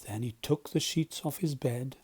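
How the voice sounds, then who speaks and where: Mellow male storybook narrator. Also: medium pitch, normal speed, husky. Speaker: volunteer storyteller. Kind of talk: expressive scripted storybook narration.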